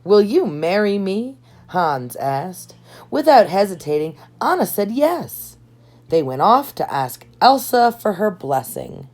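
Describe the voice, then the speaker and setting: Low-voiced woman reading a storybook, lively intonation. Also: slow. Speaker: storybook reader. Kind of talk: expressive read-aloud of a children's storybook. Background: background music.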